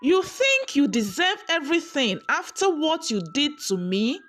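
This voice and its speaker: Bitter young village woman played by a female storyteller, wounded and accusing, swinging pitch, folktale. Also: big pitch swings, measured pace, fairly bright tone, faint music behind, heavily acted. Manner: accusing, scornful.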